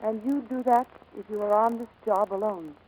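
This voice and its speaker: A woman's voice: mid-range voice, normal speed, darkish tone, slight background noise, close to an everyday voice, poor audio. A woman acting a proud, guarded American aviator in dramatic, emotionally intense 1940s radio style. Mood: anxious, hesitant.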